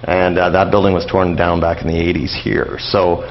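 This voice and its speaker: Middle aged guy on a radio interview, really low voice w/ fry, rambles and backtracks, kinda lofi with some hiss. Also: level tone. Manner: halting, rambling, often repeating phrases. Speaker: interview guest, old-time radio enthusiast. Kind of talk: casual radio interview, reminiscing and explaining. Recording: dull, lo-fi radio interview with noise.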